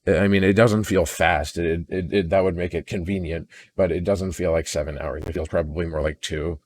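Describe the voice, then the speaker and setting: A man recording an unscripted personal audio log in a weary, downcast, introspective way, halting and often repeating words as he restarts sentences. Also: low-pitched, fairly even intonation. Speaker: audio diarist.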